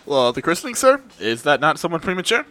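Haughty older noblewoman acted by a man, commanding and disapproving, raised pitch, forceful bright edge. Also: very animated, fast. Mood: indignant. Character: imperious, haughty older aristocratic lady.

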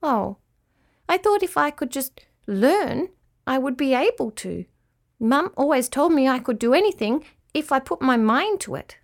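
A woman plays a tiny young boy cricket in a storybook, earnest and easily discouraged. The little voice sits very high and swings up and down with wishful, plaintive eagerness. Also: wildly swooping pitch, a little slow, full character voice. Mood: disappointed. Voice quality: little, childlike voice. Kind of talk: children's storybook character voice.

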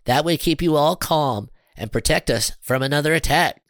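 A man's voice: fairly high pitch, normal speed, no room sound, studio quality, pitched above the performer's own voice, natural voice. A man voicing a small, earnest, fretful alien scientist with formal, stilted phrasing in a children's storybook. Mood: earnest explaining.

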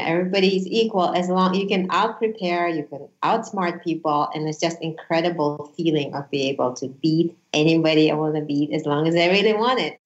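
Woman in her 40s from uzbekistan, first language russian, chatting earnestly, low voice, roomy muffled sound. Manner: earnest, animated storytelling. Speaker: guest, former attorney. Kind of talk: casual interview chat.

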